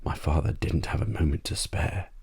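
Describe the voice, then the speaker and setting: Male bedtime-story reader for ASMR audiobook: deep, dark-toned, measured storytelling. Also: fairly even intonation, breathy edge.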